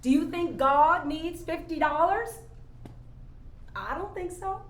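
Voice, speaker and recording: A woman's voice: extremely high pitch, animated, takes it slowly, exaggerated voice. An actress playing a jaded, skeptical working woman with a blunt, folksy, challenging manner in a staged play reading. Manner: folksy, challenging, pointed rhetorical questions. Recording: echoey room, faint background noise.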